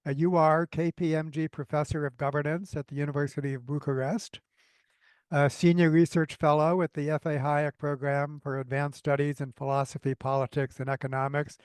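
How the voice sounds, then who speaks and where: A man hosting a podcast, introducing his guest with enthusiasm and a measured, lightly hesitant delivery. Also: a little high, little pitch movement, dry recording. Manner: enthusiastic, measured, some fillers. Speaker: podcast host. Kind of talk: interview introduction.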